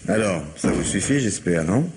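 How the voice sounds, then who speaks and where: A man's voice: low-pitched, expressive, moderate pace, noisy background. An actor in a French radio mystery play voicing a sceptical, exasperated police colleague, wry and emphatic as he reasons.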